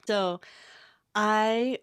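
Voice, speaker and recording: A woman's voice: mid-range voice, steady tone, extremely slow, clean tone, dry recording, studio quality. An American woman in her thirties from Connecticut, telling her own story casually as an interview guest. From Connecticut, USA. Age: 30s. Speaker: podcast guest, campus ministry worker.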